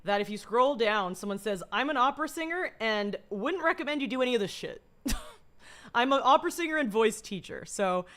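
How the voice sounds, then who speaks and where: A young woman streamer with a low voice, talking casually and rambling through asides while reacting to a video. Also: expressive, brisk, a bit bright. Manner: rambling, self-deprecating, laughs through asides.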